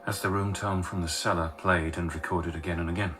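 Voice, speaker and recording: An actor playing a sardonic sound engineer with a wry, sarcastic way of talking. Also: male voice, low voice, steady tone, fairly quick, a bit bright, faint music behind. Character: sardonic male sound engineer, dry wit. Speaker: sound researcher. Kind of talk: radio drama acting.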